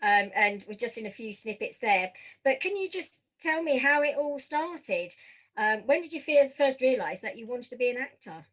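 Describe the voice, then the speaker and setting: Higher-pitched woman hosting a podcast. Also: lively intonation, moderate pace, fairly bright tone, rough recording. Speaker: podcast host. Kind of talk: scripted introduction and interview.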